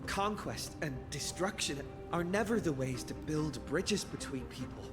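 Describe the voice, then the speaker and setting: An actor playing an earnest, defiant young male hero in a video game, speaking in a solemn, measured, declarative way. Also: fairly high pitch, animated. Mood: resolute, defiant. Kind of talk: dramatic video game voice acting. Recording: long reverb, loud music underneath.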